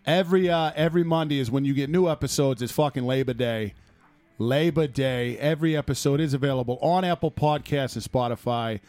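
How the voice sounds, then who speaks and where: A man hosting a sports podcast in casual chat, loud and profane, laughing often, with a phlegmy throat. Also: medium pitch, brisk, dry recording, soft background music. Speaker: podcast host.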